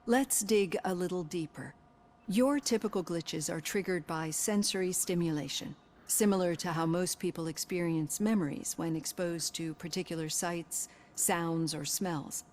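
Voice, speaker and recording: A woman acts out an analytical psychologist in a video game. Her voice is on the low side, composed and measured as she probes her patient with careful questions. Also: a little mellow, lightly acted. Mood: reassuring, analytical. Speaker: therapist. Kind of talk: scripted game dialogue.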